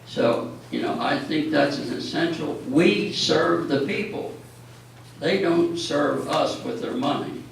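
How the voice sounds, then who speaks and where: A man addressing a town board at a public meeting, making measured, pointed remarks. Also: fairly high pitch, creaky. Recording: echoey meeting hall, mic far off, faint noise.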